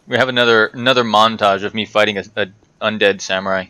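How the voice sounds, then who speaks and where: A man's voice: lowish voice, steady tone, fast talker, pushed and bright, clear, resonant tone, no room sound. A man giving casual, rambling commentary over a video game playthrough, chatting to himself and to the game's characters. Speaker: gaming video host.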